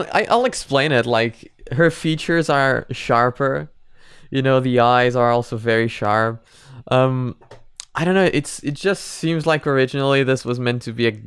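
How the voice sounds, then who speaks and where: A man's voice: medium pitch, smooth, no room sound, studio quality. A man hosting a reaction video, giving chatty, casual commentary with apologetic asides.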